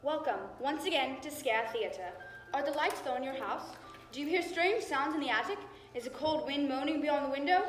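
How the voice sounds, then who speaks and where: High voiced spooky radio mystery host woman acting, dramatic narration, distant and echoey w music. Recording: echoey hall from far off, loud music.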